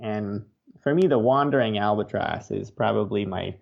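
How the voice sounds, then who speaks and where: A man's voice: lowish voice, moderate pace, vocal fry, muffled recording. A man with an American accent, an interview guest telling stories conversationally. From United States, Oregon. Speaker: author and birder, interview guest.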